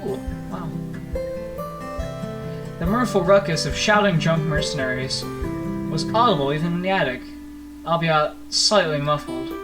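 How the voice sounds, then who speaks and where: A man with a slightly high voice narrates a game story in an expressive, storybook way. He is playful and theatrical, slipping into casual reactions. Loud plucked guitar music plays behind him. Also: normal speed, pauses often. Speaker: gaming video host reading a visual novel aloud.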